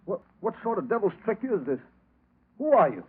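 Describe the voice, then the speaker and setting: Tinny vintage-radio crime boss. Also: male voice, high-pitched, big pitch swings, normal speed, breathy edge, full character voice. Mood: alarmed, defiant. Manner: curt, commanding. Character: scheming, bossy male racketeer, suspicious, short-tempered. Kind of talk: 1940s radio crime drama acting. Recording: narrow, tinny old radio recording.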